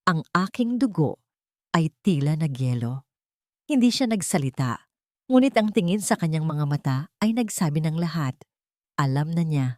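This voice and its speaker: Female audiobook narrator, low register, close dry sound, dramatic first-person storytelling. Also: darkish tone, dry recording.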